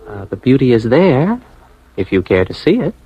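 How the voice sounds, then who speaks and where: A man's voice: medium pitch, sing-song, normal speed, breathy edge, dry recording, dull audio. An actor playing an earnest young advertising man in a radio drama, with an American accent, sometimes narrating his own story. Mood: tender, romantic. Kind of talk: old-time radio drama acting, first-person narration.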